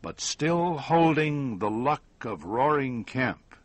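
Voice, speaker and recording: A man reads a story as a narrator, taking his time over each phrase. His pitch moves expressively across the lines, and the recording is dry and close, with very little room sound. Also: big pitch swings, no echo. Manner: measured, deliberate phrasing with short pauses. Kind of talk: scripted audiobook-style story narration.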